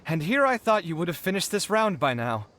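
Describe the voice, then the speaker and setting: A man's voice: high voice, a little fast, a little hiss or hum. A man voicing an earnest, dramatic young genius, kind-hearted and a bit defensive, in an anime-style video game. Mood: earnest, weary. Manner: expressive, emphatic.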